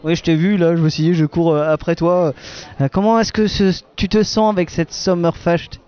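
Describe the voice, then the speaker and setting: A man's voice: fairly high pitch, steady tone, normal speed, a little mellow, smooth, highs cut off. A man roaming an outdoor wine festival, playfully teasing people as he interviews them. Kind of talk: casual street interviews.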